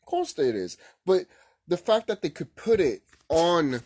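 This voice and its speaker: A man's voice: a little high, very animated, unhurried, a little mellow. A man giving a casual, rambling opinion as a gaming vlogger, speaking informally and repeating himself. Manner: informal, repetitive, mild swearing.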